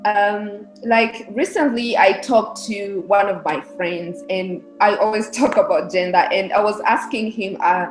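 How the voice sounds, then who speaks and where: A woman's voice: mid-range voice, a little slow, few pauses, soft background music. A young woman from Rwanda, a guest on a podcast, answering earnestly and explaining her views at length. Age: young adult. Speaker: podcast guest, research specialist. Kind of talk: casual interview answers. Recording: echoey room.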